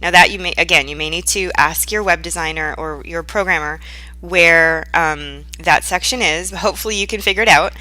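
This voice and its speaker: A woman presenting a software tutorial in an informal, conversational way, checking in with the listener as she guides them step by step. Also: fairly low pitch, normal speed, no echo, low-quality recording. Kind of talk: casual screen-share software tutorial.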